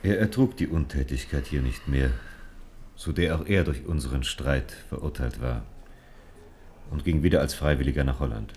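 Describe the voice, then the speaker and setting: Very deep, grave, weary older man. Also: moderate pace, darkish tone. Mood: grieving, resigned. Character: bereaved, weary older father, bitterly doubting. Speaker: actor. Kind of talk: German radio play acting. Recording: faint background noise and ambience.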